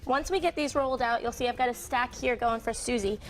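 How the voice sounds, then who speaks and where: A woman's voice: fairly high pitch, fairly quick. Upbeat woman demonstrating a recipe. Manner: upbeat, step-by-step explaining. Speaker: guest cook. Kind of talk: cooking demonstration on live TV. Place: TV studio kitchen.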